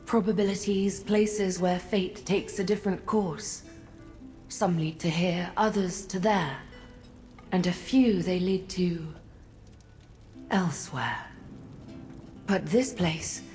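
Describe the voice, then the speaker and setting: A haunted, echoing goddess, slow and faltering. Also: female voice, on the low side, a little mellow. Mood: troubled, cryptic. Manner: halting, faltering, cryptic. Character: disoriented Daedric prince goddess, haunted, fragile, pleading. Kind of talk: scripted video game character acting. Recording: long reverb, music behind.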